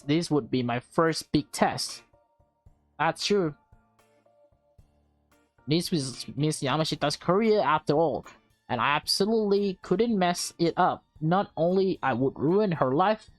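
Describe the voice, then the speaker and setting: A woman's voice: deep voice, dry recording. A woman reading visual novel text aloud with casual reactions, stumbling now and then over words and correcting herself.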